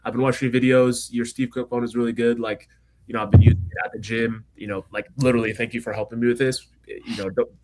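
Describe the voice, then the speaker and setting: A young man from Kenya with an American accent, telling his story quickly and energetically as a podcast guest. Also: mid-range voice, a little hiss or hum. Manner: fast, energetic storytelling with frequent fillers. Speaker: guest entrepreneur. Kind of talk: casual interview chat.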